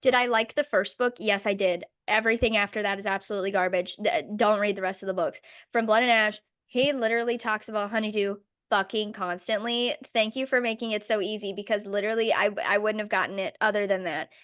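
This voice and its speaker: A woman's voice: mid-range voice, steady tone, fast talker, few pauses, fairly bright tone, no echo, studio quality. A woman co-hosting a casual podcast chat, sick with a voice that cuts in and out, blunt and swearing freely. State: sick, voice going in and out. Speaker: podcast co-host.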